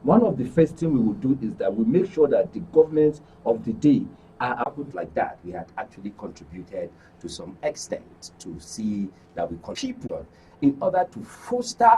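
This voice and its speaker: A man's voice: medium pitch, very animated, normal speed, warm tone. A Nigerian man speaking earnestly and formally as a guest on a studio panel. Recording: dull, distant mic with background noise.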